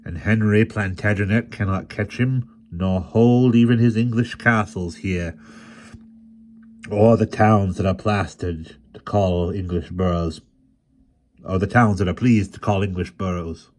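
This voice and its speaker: A man voicing a frail, passionate old male bard with a soft, lisping, lilting Welsh accent. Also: low-pitched, expressive, measured pace, a little hiss or hum. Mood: fervent. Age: older. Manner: grows fervent and sing-song.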